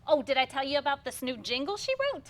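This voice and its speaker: A woman's voice: high voice, quick pace. A woman playing a playful, teasing 1950s hairdresser wife in a conversational staged play reading. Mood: chatty, playful. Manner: teasing, expressive.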